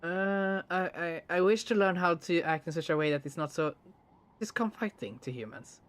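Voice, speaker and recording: A gentle, awkward alien man, read aloud by a streamer playing a game. He chooses each word with stiff formality and trips over a few of them. His tone stays earnest and eager to please. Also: mid-range voice, moderate pace, smooth, natural voice. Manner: stiff, overly formal phrasing with hesitant restarts. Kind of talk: reading game dialogue aloud during a let's play.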